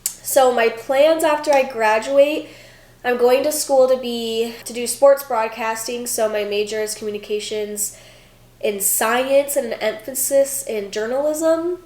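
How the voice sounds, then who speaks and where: A woman's voice: fairly high pitch, measured pace, darkish tone, echoey room. Chatty young woman with a stuffy cold. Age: 20s. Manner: chatty, lots of "like" and "um". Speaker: vlogger, former college gymnast. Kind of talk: casual Q&A vlog.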